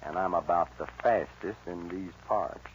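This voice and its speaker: An actor playing a cocky young American outlaw gunfighter, laconic and folksy, in a 1940s radio western. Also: male voice, low voice, moderate pace, close to an everyday voice. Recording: dull, hissy old radio recording.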